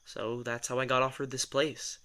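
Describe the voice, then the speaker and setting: A man acts out a sardonic, exasperated guy in an audio drama. His voice is bright and forward at an ordinary male pitch. He rambles wryly and defensively, as if in casual conversation. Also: little pitch movement, moderate pace, clear, resonant tone, lightly acted. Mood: matter-of-fact. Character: sardonic, exasperated male telepath, former personal assistant to a writer.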